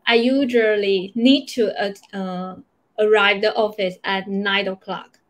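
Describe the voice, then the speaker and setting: A woman's voice: mid-range voice, steady tone, measured pace. A woman in her thirties from Taiwan with a Taiwanese accent, giving casual answers as an interview guest over a video call. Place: small room, indoors.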